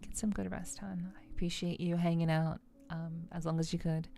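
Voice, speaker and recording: Soft low-voiced lady streamer just chatting, bit of gravelly fry, rambles along unhurried. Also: flat delivery. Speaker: livestreamer, VTuber host. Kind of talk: casual chat with live stream viewers, ASMR stream. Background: faint music.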